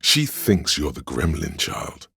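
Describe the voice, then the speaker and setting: An extremely deep, gravelly theatrical schemer. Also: male voice, sing-song, slow pace, bright, forceful tone, dry recording, studio quality, exaggerated voice. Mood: knowing, courtly. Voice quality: gravelly, husky. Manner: theatrical, archaic, sly courtly flourishes. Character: theatrical, scheming inner voice of drama, a courtly servant. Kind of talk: video game voice lines, addressing a liege.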